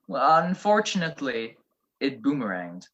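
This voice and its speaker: An actor playing a self-assured, witty private detective, wry and confident, in an old-time radio drama style. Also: male voice, medium pitch, big pitch swings, normal speed, fairly bright tone, muffled recording. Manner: wry, explaining deductions with cool confidence.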